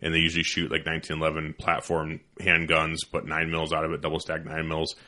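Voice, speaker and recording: Deep mumbling man, casual and sweary. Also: monotone, fast, bright, forceful tone. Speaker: podcast host. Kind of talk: casual chat.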